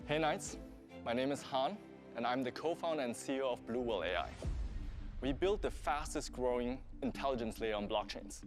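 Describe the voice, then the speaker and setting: A man in his thirties from Germany with a German accent, pitching his startup to investors in an earnest, explanatory way. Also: normal speed, over music. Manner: earnest, explanatory, steady delivery.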